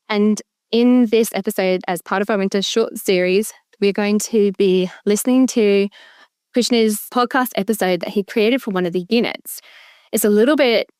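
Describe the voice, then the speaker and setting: A woman's voice: medium pitch, a little mellow, smooth, dry recording, studio quality. Upbeat young woman hosting a podcast. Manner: upbeat, brisk introductions. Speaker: host.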